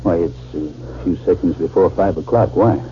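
An actor playing an army general with a commanding manner in a 1950s radio drama. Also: male voice, deep voice, moderate pace, faint music behind, close to an everyday voice. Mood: gentle, concerned. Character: brisk commanding male army general, gentle with the sick. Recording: dull, noisy old 1950s radio recording.

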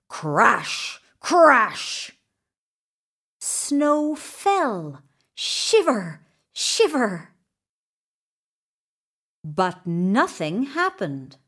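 Female children's storyteller: high-pitched, animated, expressive, lingering on dramatic pauses. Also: breathy edge. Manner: expressive, deliberate, dramatic pauses for young listeners. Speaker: storyteller reading a children's book. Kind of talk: scripted children's story narration.

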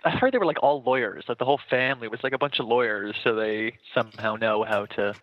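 A man co-hosting a casual podcast, laid-back and quick to laugh. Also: mid-range voice, no echo, muffled recording. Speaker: podcast co-host. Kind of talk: casual comedic chat.

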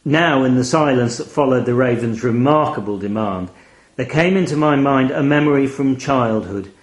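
A man reading a first-person literary short story in an expressive, measured, wry manner. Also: medium pitch, reverberant. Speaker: audio story reader.

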